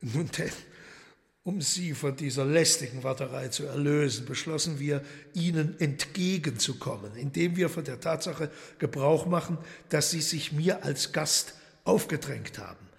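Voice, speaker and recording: Courteous menacing devil, highish male voice, gravelly creak, formal radio drama delivery, long reverb. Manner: mock-courteous, formal, deliberate. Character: urbane, menacing devil host, mock-courteous and commanding. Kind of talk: radio play acting.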